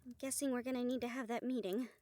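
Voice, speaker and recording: A woman's voice: on the high side, steady tone, fast, dark tone, close to an everyday voice. A woman playing a casual, capable young niece in a fantasy audio drama, speaking plainly. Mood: casual, resigned. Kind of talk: audio roleplay drama acting.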